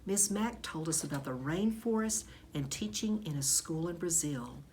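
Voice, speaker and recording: A woman reading a children's book aloud in expressive storybook narration, like a teacher. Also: low-pitched, normal speed, slight background noise.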